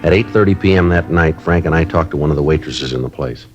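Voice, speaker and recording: No-nonsense police sergeant, clipped deadpan delivery, rapid pace, old radio drama. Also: male voice, very deep voice, muffled recording, faint music behind. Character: terse male police sergeant, matter-of-fact. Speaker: police detective narrator. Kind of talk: 1950s radio drama narration.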